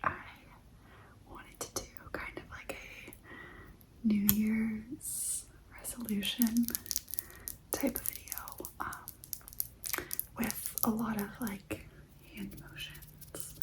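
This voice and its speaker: A woman speaks as an ASMR host in a breathy whisper. She takes her time, drawing out her words at a very slow pace. Her voice sits a little high, and she gently repeats phrases as she addresses the listener. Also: flat delivery, low-quality recording. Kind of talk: ASMR, softly talking to the listener.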